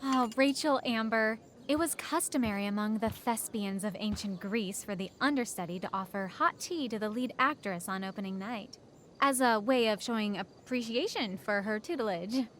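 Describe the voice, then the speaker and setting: A woman's voice: fairly high pitch, moderate pace, fairly bright tone, a little hiss or hum, natural voice. A woman acting a haughty, scheming American teenage rich girl in a video game, pompous and condescending. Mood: falsely sweet.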